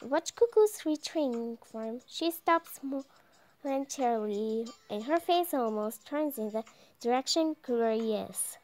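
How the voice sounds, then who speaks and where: High-pitched, slow young woman reading haltingly. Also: expressive, darkish tone, poor audio. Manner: halting, stumbles over words. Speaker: gameplay video creator reading aloud. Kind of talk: reading visual novel text aloud. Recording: music behind the voice.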